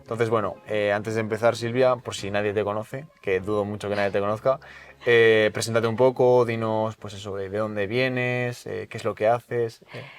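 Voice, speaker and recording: A man's voice: on the low side, fairly even intonation, moderate pace, clear, resonant tone, soft background music. A man hosting a brand podcast, asking long, rambling questions full of hesitations. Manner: hesitant, many "eh" fillers and restarts, rambling questions. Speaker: podcast host. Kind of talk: casual interview chat.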